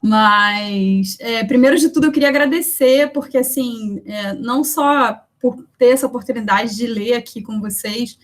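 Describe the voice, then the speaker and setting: A woman's voice: fairly high pitch, moderate pace, few pauses. A woman poet and researcher from Brazil introducing herself at an online poetry event, chatting from home over a video call with lots of fillers. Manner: chatty, warm thanks, many fillers.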